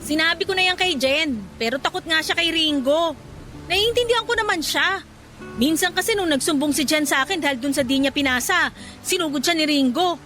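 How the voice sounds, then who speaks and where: A frustrated working woman in a radio drama, her voice high and bright. She complains emphatically with wounded pride, over faint music. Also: a little fast. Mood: indignant. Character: frustrated, principled female office worker, wounded pride. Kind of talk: Filipino radio drama dialogue.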